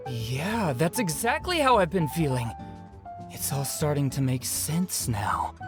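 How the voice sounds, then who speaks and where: A man's voice: on the high side, very animated, measured pace. A man playing a glum, unlucky young customer in a video game, hesitant and naive. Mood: amazed. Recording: music behind the voice.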